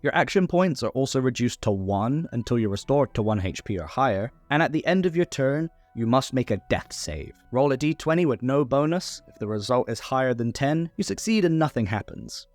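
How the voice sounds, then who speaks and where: A man's voice: mid-range voice, animated, a little fast, hardly any pauses, a little mellow, dry recording, faint music behind. A man narrating a scripted tutorial on tabletop game rules, recorded as a close voice-over. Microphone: close voice-over microphone.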